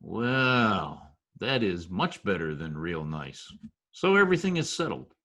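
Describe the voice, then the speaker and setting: A man acting as a wry Broadway storyteller in an old-time radio play. His voice sits low, and he talks in deliberate, oddly formal street slang, confiding like one of the boys. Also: very animated, sharp tone, exaggerated voice. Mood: curious. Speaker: narrator and actor in an amateur radio play recreation.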